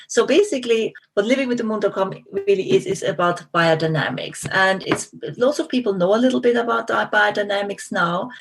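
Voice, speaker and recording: Husky Austrian woman, middle-aged guest. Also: on the low side. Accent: Austrian German. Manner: thoughtful, many restarts and fillers. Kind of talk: interview conversation.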